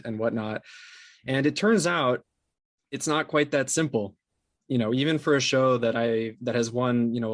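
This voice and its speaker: A man's voice: medium pitch, no echo. Man in a dry, close-sounding interview. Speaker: interview guest, fiction podcast producer. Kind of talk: conversational interview talk.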